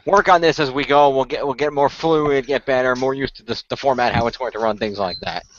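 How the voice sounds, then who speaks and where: A man's voice: medium pitch, a little fast, lo-fi sound, a little hiss or hum. A man in casual group chat as a player on a role-play show.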